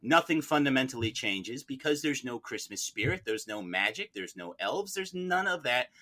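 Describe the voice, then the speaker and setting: A man's voice: medium pitch, animated, normal speed, pushed and bright. A man arguing as defense counsel in a mock courtroom debate, argumentative and leaning on repeated phrases for emphasis.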